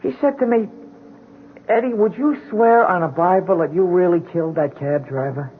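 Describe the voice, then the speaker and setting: A man's voice: high-pitched, normal speed, slight background noise, natural voice. A man playing a meek, hapless drunkard pleading innocence in a radio drama, stammering and ingratiating in uneducated street talk. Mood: meek, hesitant. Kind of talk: old-time radio drama acting. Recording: muffled 1970s radio drama broadcast.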